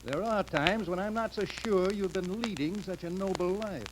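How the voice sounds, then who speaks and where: An actor playing a cool-headed lawyer in 1930s radio drama, measured, firm and drily pointed. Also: male voice, high voice, lightly acted. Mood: drily suspicious. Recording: noisy, crackly 1930s radio recording.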